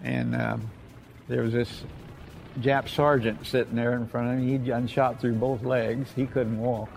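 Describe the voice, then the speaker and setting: Elderly American veteran with a gravelly voice. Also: male voice, medium pitch, little pitch movement, a little slow, darkish tone, faint music behind. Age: 80s or older. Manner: plainspoken, folksy, repeats himself. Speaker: war veteran. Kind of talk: oral history reminiscence.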